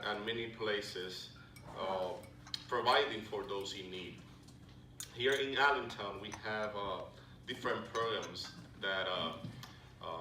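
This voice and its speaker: A middle-aged man with a Puerto Rican accent giving a friendly, halting talk to a club, with many fillers and restarts. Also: on the low side, far from the mic. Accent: Puerto Rican Spanish. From Puerto Rico. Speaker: Salvation Army officer speaking to a club. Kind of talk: informal presentation to a luncheon audience. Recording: echoey hall recorded from afar, background noise.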